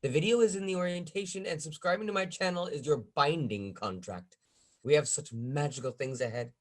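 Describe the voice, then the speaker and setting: A man voicing a pushy, sinister high priestess witch with a sweetly imperious manner in a script table read. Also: brisk, natural voice. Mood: smug.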